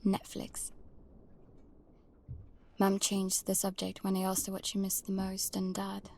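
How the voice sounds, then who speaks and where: A woman playing a protective young girl who narrates her life at sea in a hushed, intimate way for an audio drama. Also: mid-range voice, monotone, unhurried. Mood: wistful. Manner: hushed, breathy, often near whispering. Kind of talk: first-person scripted audio drama narration.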